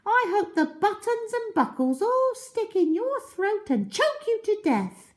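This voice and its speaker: An excitable little storybook monkey. The voice is pitched far up and squeaky, exclaiming with big lively swoops. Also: female voice, measured pace, heavily acted. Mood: indignant. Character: excitable monkey, friendly, then horrified and indignant.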